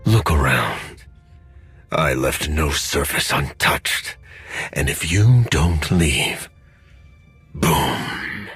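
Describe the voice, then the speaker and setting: Very deep, rough-voiced gloating villain. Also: male voice, animated, a bit bright, no room sound, faint music behind, full character voice. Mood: gloating menace. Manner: slow, gloating, menacing. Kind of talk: video game cutscene acting.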